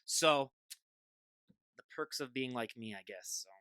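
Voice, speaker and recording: A man's voice: mid-range voice, measured pace, sharp tone, smooth, no room sound. A young man from the Midwest hosting a podcast, chatting casually in a sarcastic, joking way. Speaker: podcast host. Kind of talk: casual chat.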